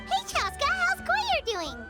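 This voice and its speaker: A woman's voice: extremely high pitch, huge pitch swings, slow pace, loud music behind the voice, full character voice. A woman voicing a tiny, childlike, helpful fairy companion in a video game, in a cutesy manner. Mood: curious, chirpy. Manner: cutesy, refers to self in third person.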